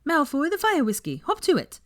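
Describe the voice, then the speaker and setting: A woman's voice: high voice, huge pitch swings, brisk, no echo, studio quality, pitched above the performer's own voice. A woman voicing a tipsy, childish, indignant young witch with petulant, dramatic delivery in a story reading. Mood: tipsy, defiant. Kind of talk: fan fiction audiobook character voice.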